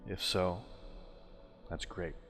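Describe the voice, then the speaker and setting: Male meditation narrator, very low register, calming and unhurried, pausing between phrases, drenched in long reverb. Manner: soothing, unhurried, deliberate phrasing with pauses. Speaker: hypnosis and meditation guide. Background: music.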